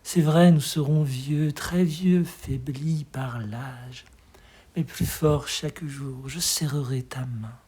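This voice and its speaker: A hushed, breathy voice giving an expressive, tender recitation of poetry in a measured way. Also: medium pitch. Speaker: poetry reader.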